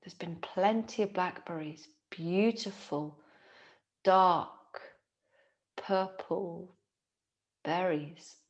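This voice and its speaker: Slow, low-voiced woman guiding deep relaxation. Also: fairly even intonation, highs cut off. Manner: soothing, unhurried, gentle with long pauses. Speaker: yoga nidra teacher. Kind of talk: guided relaxation meditation.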